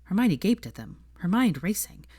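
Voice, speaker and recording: A woman's voice: on the low side, sing-song, normal speed, mellow, slightly rough, dry recording, clean studio sound. A woman narrating a story from a script in an audiobook style. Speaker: audiobook-style fan fiction reader.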